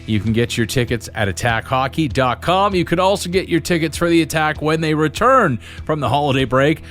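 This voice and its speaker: Sports podcast host with music behind him. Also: male voice, a little high, brisk, fairly bright tone. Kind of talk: sports podcast interview and sign-off.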